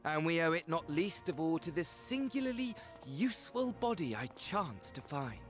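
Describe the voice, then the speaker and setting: An actor playing a flamboyant, gloating villain in a video game, with florid, courtly mockery. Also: male voice, on the high side, big pitch swings, faint music behind. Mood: smug gloating. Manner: florid, grandiose, drawn-out phrasing. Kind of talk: video game cutscene acting.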